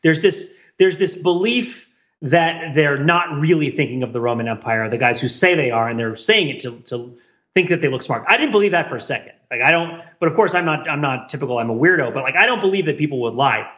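A man's voice: a little high, animated, fairly quick, sharp tone. An American man from New Jersey co-hosting a podcast in casual, conversational discussion. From New Jersey, United States. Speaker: podcast co-host. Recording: dull lo-fi voice track.

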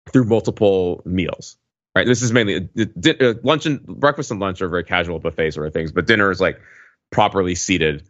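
Low-voiced man, halting and wry. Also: a bit bright, dry recording, muffled recording. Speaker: podcast co-host recounting a trip. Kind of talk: casual conversational storytelling.

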